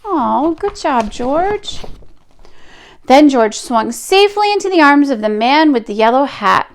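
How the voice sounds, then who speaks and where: A woman reading a picture book aloud to children, expressive, with drawn-out emphasis and chatty asides. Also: high-pitched.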